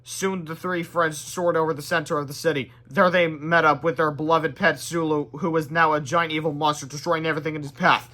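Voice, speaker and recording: A man reading a storybook aloud in an animated way, with exclaimed sound effects and off-script asides. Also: fairly high pitch, a little fast, hardly any pauses. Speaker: read-aloud presenter. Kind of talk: expressive storybook read-aloud with ad-libbed asides.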